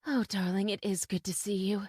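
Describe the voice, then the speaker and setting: A woman playing a gentle, practical young mother in an old-time radio drama. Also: medium pitch, fairly even intonation, a little fast, breathy edge, no room sound, clean studio sound, lightly acted. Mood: tender.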